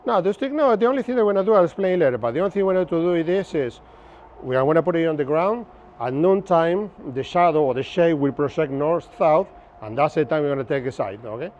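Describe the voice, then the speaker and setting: A man's voice: a little high, expressive, fast talker, a little mellow, dull audio, slight background noise. A man in his fifties from Argentina with a Buenos Aires accent, giving a chatty, joking workshop lecture and often checking in with his listeners. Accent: Argentine Spanish, Buenos Aires. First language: Spanish. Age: 50s. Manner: chatty, jokey, keeps checking in with the audience. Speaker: instructor. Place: open-sided pavilion outdoors.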